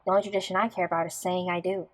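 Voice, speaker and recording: A woman's voice: on the low side, level tone, rapid-fire, natural voice, low-quality recording. A woman playing a blunt, practical young bride-to-be in an audio drama, wry and informal. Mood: exasperated, wry.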